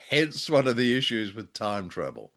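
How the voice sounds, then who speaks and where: A man co-hosting a podcast, giving critique in a halting, deliberate way. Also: mid-range voice, sing-song, fairly bright tone. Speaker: podcast co-host. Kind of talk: casual discussion and critique.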